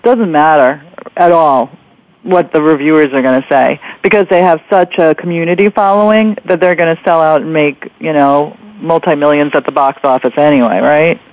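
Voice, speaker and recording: A man's voice: on the high side, steady tone, normal speed. A man hosting a radio interview in a conversational style. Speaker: radio show host. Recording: dull, lo-fi radio audio.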